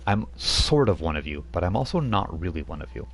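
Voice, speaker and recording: A man voicing a centuries-old female half-werewolf vampire who looks like a young teen, speaking in a halting way with many pauses. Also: sits low, sing-song, breathy edge, exaggerated voice. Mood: earnest.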